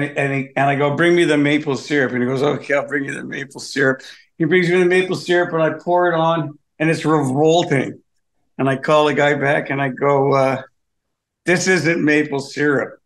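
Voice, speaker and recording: A man from Canada, a comedian guest on a video call, telling wry, complaining stories in a casual interview. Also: on the high side.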